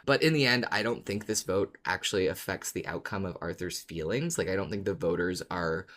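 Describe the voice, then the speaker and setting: Lower-voiced man thinking aloud. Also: fairly bright tone. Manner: hesitant, measured, thinking aloud. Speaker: podcast co-host. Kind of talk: casual discussion of a fiction podcast.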